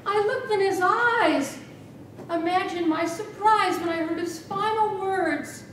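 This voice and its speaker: A middle-aged woman giving a humorous tall-tale speech with theatrical, deliberate delivery and dramatic emphasis. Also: fairly bright tone. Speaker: contest speaker. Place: small meeting room with rows of chairs. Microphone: far camera. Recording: echoey room from far off, noisy rumble.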